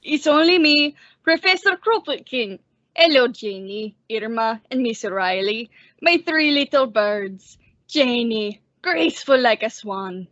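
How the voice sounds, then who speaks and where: A woman playing a teasing old male musician and professor in a radio comedy, mock-courtly in his flattery. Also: a little high, unhurried, no room sound. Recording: dull lo-fi recording.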